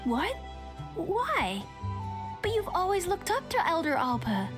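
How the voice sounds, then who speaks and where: A bubbly young woman with a very high, bouncing voice. She is eager and insistent. Game music plays clearly behind her. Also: wildly swooping pitch, a little slow, full character voice. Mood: coaxing. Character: eager young woman, pushy loyal best friend. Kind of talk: video game voice acting.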